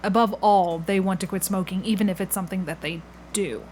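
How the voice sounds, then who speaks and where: A woman's voice: mid-range voice, steady tone, a little fast, a little mellow, a little hiss or hum. A woman hosting a casual interview, inquisitive and following up to clarify. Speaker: podcast host, interviewer.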